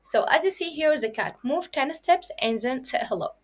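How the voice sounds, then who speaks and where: A woman teaches children the basics of coding. Her voice sits a little high, and she explains each point step by step and repeats it, with plenty of fillers along the way. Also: lively intonation, normal speed. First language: Arabic. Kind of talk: kids' coding lesson.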